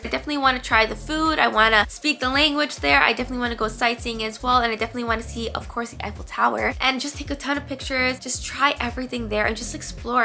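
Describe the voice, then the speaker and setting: A woman's voice: a little high, bright, forceful tone. A woman in her twenties from Canada, a medical student chatting upbeat to her viewers and leaning on "definitely" and "like". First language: English. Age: 20s. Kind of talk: casual chat to camera.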